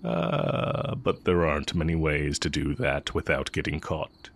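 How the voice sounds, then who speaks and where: A man acting as a sly, coolly teasing heretic magic teacher in an intimate audio roleplay, musing and taunting with drawn-out pauses. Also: rumbling low, very animated, creaky, a little hiss or hum, exaggerated voice. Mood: wry, musing.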